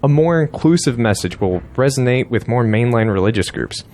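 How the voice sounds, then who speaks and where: A man's voice: medium pitch, lively intonation, moderate pace, a little mellow, slight background noise, natural voice. A man playing a blunt, persuasive political strategist in an audio drama, insistent and lecturing.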